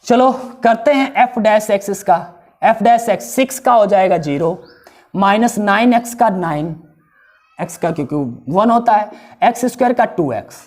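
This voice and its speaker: A man teaching a math lesson with a hoarse, sore throat. He works through each step, repeats values, and puts questions to his students. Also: high-pitched, expressive, unhurried.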